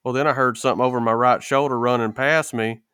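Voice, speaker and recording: A man's voice: medium pitch, steady tone, fast talker, bright, forceful tone, clear, resonant tone, dry recording, studio quality. A man in a casual podcast chat, telling stories with folksy, rural phrasing. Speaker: podcast co-host.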